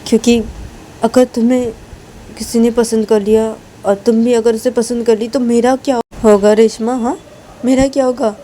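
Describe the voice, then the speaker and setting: A woman's voice: a little high, flat delivery, moderate pace, dark tone, a little hiss or hum, voice pushed down, natural voice. A woman voicing a suspicious, possessive but loving wife in an audio story, coaxing and nagging with repeated questions. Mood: anxious, loving.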